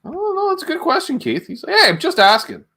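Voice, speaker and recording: Animated man hosting a casual livestream. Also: extremely high pitch, fast talker. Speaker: livestream show host. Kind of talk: casual chat, reading out viewer comments.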